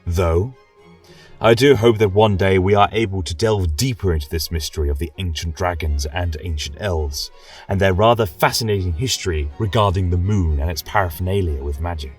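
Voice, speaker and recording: A man's voice: deep voice, normal speed, husky, soft background music. A man playing a genial, rambling lecturer at a college of magic, speaking in a flowery, coaxing way in a scripted fantasy audio drama. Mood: musing, wistful. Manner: flowery, gently coaxing, chuckling scholar.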